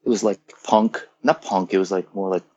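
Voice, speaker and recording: A fairly low-voiced man in his fifties, originally from Croatia, talking as an interview guest and animatedly reliving old stories. His voice comes over a dull, muffled, lo-fi line that sounds like a phone call. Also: fairly quick. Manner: animated storyteller, swearing, acting out quotes. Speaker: rock singer, interview guest.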